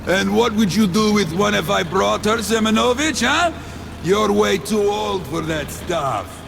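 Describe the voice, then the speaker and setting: A man voicing a joking, world-weary fisherman in a video game, with a gravelly, teasing drawl. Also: high-pitched, animated, unhurried, background noise, full character voice.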